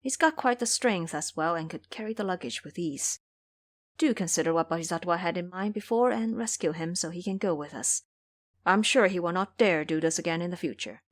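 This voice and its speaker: A woman voicing a forgiving male monk master in an audio storybook, earnest, measured and reasoning. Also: fairly low pitch, close to an everyday voice.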